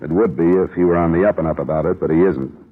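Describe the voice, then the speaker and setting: An American actor playing a dogged, calm private investigator in a 1950s radio drama, terse and probing. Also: male voice, sits low, fast, lightly acted. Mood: insistent. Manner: terse, probing, hard-boiled. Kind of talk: 1950s radio detective drama acting, first-person narration and dialogue. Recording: muffled, lo-fi old radio recording.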